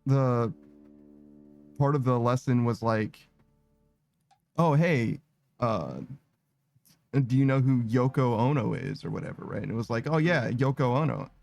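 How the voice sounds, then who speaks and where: Halting, rambling young man chatting. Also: medium pitch, creaky, darkish tone. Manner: rambling, halting, many restarts. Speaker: streamer. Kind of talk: casual chat while gaming. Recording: faint music behind.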